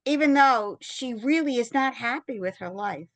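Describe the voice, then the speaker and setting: A woman, a bereavement specialist and co-host, speaking emphatically in a casual conversation, with quick bursts of speech. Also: fairly high pitch, lively intonation, dry recording.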